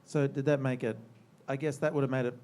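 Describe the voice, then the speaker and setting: A man in the audience asking questions, halting and rephrasing as he goes. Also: mid-range voice, level tone, darkish tone. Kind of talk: casual question from the floor.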